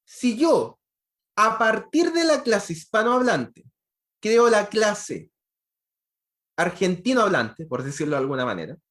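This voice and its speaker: A man's voice: high-pitched, sing-song, measured pace, long pauses, fairly bright tone. A man giving an online university lecture on programming, explaining step by step and checking in with frequent rhetorical questions.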